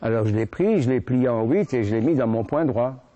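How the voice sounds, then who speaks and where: An elderly man in his eighties with a Belgian French accent from Brussels gives spoken testimony. His voice is mellow and dark, and he talks haltingly, restarting sentences and repeating words as he goes. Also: medium pitch, muffled recording. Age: 80s. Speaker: witness giving testimony. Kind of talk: first-person spoken recollection.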